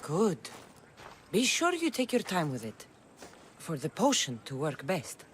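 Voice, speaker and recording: An actress playing a tough ancient Greek mercenary in a video game, with a dry, sardonic manner. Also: female voice, fairly low pitch, wildly swooping pitch, unhurried, noisy background. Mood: dry, guarded. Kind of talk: video game cutscene acting.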